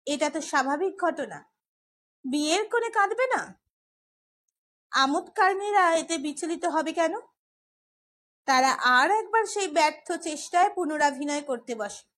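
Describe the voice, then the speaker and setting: Very high-pitched woman narrating a story. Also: expressive, measured pace, pauses often, fairly bright tone. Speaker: audiobook narrator. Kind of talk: scripted storytelling narration of a novel.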